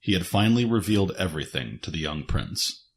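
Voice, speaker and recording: A man's voice: deep voice, sing-song, fairly quick, a little hiss or hum. A man reading scripted, dramatic story narration as the narrator of an audio drama. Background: faint music.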